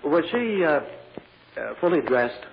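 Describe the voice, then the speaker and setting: An actor playing a methodical, insistent prosecutor in a radio courtroom drama, questioning in a halting, deliberate, courtly manner. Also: male voice, on the high side, big pitch swings, full character voice. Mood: insistent, careful. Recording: muffled vintage radio recording with clear noise.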